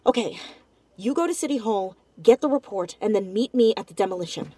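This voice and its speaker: An actress playing a determined, headstrong young amateur sleuth in a naturalistic audio drama. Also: female voice, mid-range voice, lively intonation, a little fast, dark tone, a little hiss or hum, lightly acted. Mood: firm.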